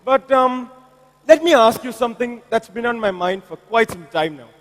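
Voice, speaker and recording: A man's voice: very high-pitched, animated, moderate pace. A man playing a touchy, guarded doctor in a stage drama, hesitant and defensive. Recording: echoey hall, stage play.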